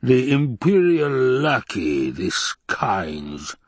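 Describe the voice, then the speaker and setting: A man acts out a scheming, tyrannical baron in a dramatised audiobook. The voice rumbles, husky and drawn-out, with imperious contempt in a close, dry recording. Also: sing-song, dry recording, full character voice. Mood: cold questioning. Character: menacing, scheming, obese male baron and tyrant.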